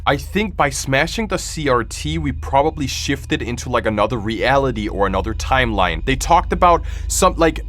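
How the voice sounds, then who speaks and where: A man's voice: mid-range voice, animated, moderate pace, hardly any pauses, fairly bright tone. A man streaming casual reaction commentary on a horror game, thinking aloud as he reasons through his choices. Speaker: streamer, host. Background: music.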